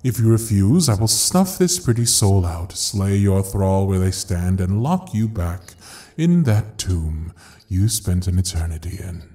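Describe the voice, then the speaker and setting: An actor playing a suave, menacing devil in an audio roleplay, speaking in a dark velvety voice with courtly, teasing deliberation. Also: male voice, deep voice, lively intonation, measured pace, few pauses. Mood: cold threat. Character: suave, menacing male devil ruling a frozen hell. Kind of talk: ASMR audio roleplay acting.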